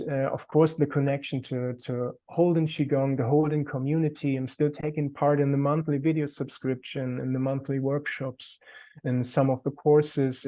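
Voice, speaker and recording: A man's voice: mid-range voice, dark tone. A man with a German accent, a native German speaker, talking earnestly as a qigong teacher on a video call, sometimes searching for words.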